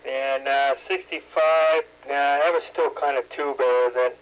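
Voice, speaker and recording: Man, CB radio caller, monotone, offhand, muffled radio sound, faint hiss. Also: mid-range voice, measured pace. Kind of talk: casual radio chat.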